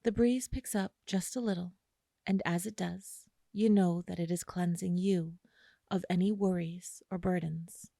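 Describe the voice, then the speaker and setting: A woman guiding a listener through an imagery meditation in a slow, soothing way, with long pauses. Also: lowish voice, steady tone, gravelly, mellow, no echo, clean studio sound. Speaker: meditation guide. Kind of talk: guided imagery meditation narration.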